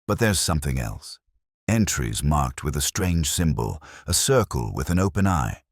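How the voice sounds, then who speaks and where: Man voicing blunt, very deep police inspector. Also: big pitch swings, moderate pace, slightly rough, dry recording, studio quality, exaggerated voice. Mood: grim, puzzled. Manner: blunt, formal reporting. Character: grim, businesslike male police inspector.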